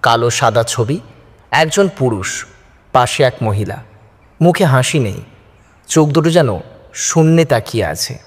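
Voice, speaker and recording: A man's voice: mid-range voice, animated, measured pace, pauses often, breathy edge. A man narrating a first-person horror story in a suspenseful, theatrical style. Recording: music behind the voice. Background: background music.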